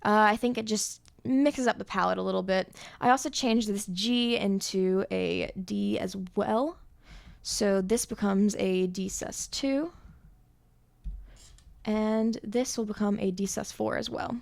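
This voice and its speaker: Young woman, high voice with noticeable vocal fry, relaxed explainer as a songwriter. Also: unhurried. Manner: relaxed, explanatory, with thinking fillers. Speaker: songwriter explaining her arrangement. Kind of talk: casual music theory walkthrough.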